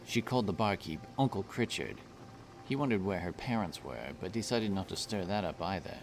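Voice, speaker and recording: A man's voice: low-pitched, animated, brisk, a little hiss or hum. A man giving scripted narration of a fantasy story as its narrator.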